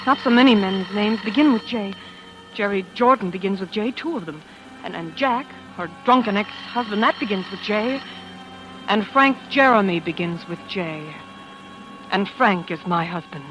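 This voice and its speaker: An actress playing an anxious, devoted wife in a 1940s radio suspense drama, fretful and dramatic. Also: female voice, mid-range voice, close to an everyday voice, rough recording. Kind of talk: 1940s radio suspense acting, first-person narration and dialogue. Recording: old radio drama with music behind.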